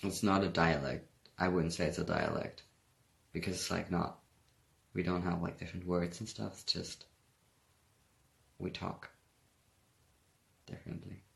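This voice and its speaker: Deep gravelly German-accented young man. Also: steady tone, a little slow, long pauses. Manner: laid-back, rambling, reads comments aloud, laughs often. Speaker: livestreamer answering comments. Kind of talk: casual chat. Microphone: phone.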